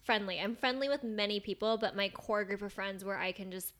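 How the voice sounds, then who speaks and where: A young woman from Northern California, a guest on a casual podcast. Her voice has a steady nasal tone. She tells stories in a chatty, self-deprecating way. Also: mid-range voice, fairly quick. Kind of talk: casual chat.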